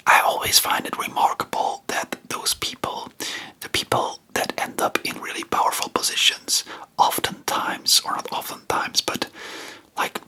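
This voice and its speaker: A non-native English speaker hosting ASMR, chatting informally about history in a soft, breathy whisper with a rambling, hesitant manner. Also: normal speed. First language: not English. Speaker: ASMR video creator and host.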